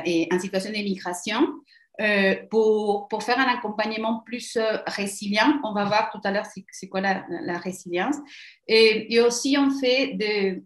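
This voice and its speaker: Argentine woman presenting in a roomy echo. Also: mid-range voice. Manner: halting, searching for words. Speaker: psychologist presenting a training. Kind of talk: webinar presentation. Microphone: video call.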